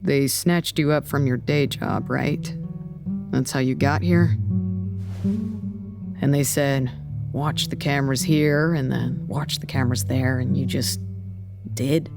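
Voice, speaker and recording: A low-pitched woman speaks with weary, blunt candor in an audio drama. She is sardonic and halting, dishing out tough love to a younger colleague. Music plays clearly behind her. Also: steady tone, full character voice. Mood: blunt, urging. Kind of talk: naturalistic audio drama dialogue.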